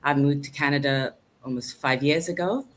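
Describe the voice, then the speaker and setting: A woman's voice: low-pitched, moderate pace. An older woman from Zambia, a grandmother, introducing herself proudly and without hurry on an online panel. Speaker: panel guest.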